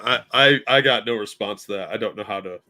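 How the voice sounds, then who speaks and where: A man's voice: on the low side, fairly quick, pushed and bright. Dry wisecracking male co-host. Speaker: podcast co-host. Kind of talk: casual chat.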